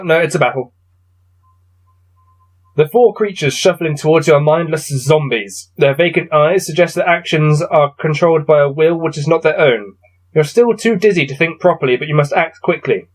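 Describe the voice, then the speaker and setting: A man reading a gamebook aloud and adding low-key, wry muttered commentary as he plays. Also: poor audio. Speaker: solo gamebook player and reader.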